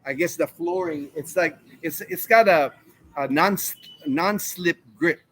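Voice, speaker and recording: A man's voice: fairly high pitch, measured pace, a bit bright, slightly rough, low-quality recording. A man in his fifties, from the United States, hosting a casual live Q&A stream and rambling through answers to chat questions. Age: 50s. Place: home in the Philippines.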